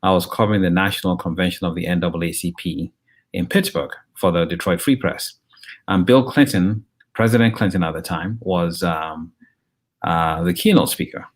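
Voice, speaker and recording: A man in his fifties from Nigeria, with a very low voice, answers an interviewer's questions. He speaks in a measured, conversational way. He often pauses, says um and restarts his sentences. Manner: measured, with frequent ums and restarts. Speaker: interview guest, journalist and professor.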